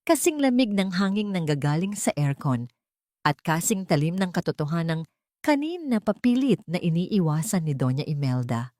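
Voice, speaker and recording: A woman's voice: fairly low pitch, big pitch swings, moderate pace, mellow. A woman narrating a dramatic scripted story in a solemn, emotive way.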